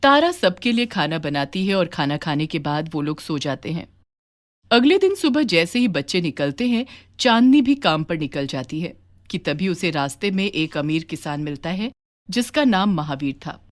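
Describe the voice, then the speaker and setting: A woman's voice: on the low side, big pitch swings, normal speed. A woman storyteller reading scripted narration for a children's moral tale.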